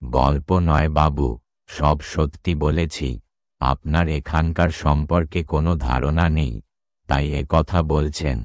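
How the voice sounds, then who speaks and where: A man's voice: very deep voice, steady tone, measured pace, no echo, studio quality. A man voicing an earnest, superstitious village boatman in a Bengali ghost-story cartoon, with a deferential storytelling manner and a Bengali accent. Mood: solemn.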